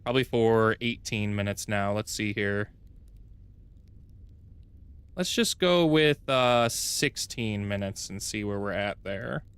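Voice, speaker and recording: A man with a deep voice streaming a strategy game from home, chatting casually, thinking aloud and correcting himself as he plays. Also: expressive, slow, pauses often, fairly bright tone, smooth, no room sound. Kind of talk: casual gameplay livestream chat. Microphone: desk mic with noise gate.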